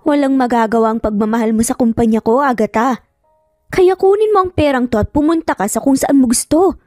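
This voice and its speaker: A woman's voice: sits high, brisk, mellow, dry recording, studio quality, faint music behind, close to an everyday voice. A woman voicing a cruel, rich older mother in a story, stern, sarcastic and commanding. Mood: cold, sarcastic.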